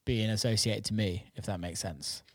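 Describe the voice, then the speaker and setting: A man's voice: on the low side, fairly even intonation, moderate pace, no room sound, clean studio sound. A man interviewing someone in a casual chat, enthusiastic, informal and sweary. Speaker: interviewer, DJ and label owner.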